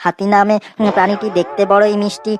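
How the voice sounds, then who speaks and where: A woman's voice: mid-range voice, flat delivery, fast, natural voice. A woman voicing a cheeky, eager schoolboy who speaks hesitantly in a comedy classroom cartoon. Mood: earnest reciting. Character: cheeky schoolboy reciting silly verse and answers in class. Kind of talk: comedic cartoon dialogue, singsong recitation.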